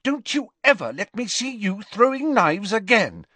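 A man's voice: a little high, big pitch swings, a little slow, dry recording, pitched above the performer's own voice. A man voicing a harried, scolding middle-aged mother in a storybook, fussing and maternal. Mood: furious.

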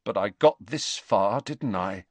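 A man's voice: lowish voice, animated, slow pace, no echo. A man voicing a frightened but defiant teenage boy wizard in a storybook reading. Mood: tense defiant.

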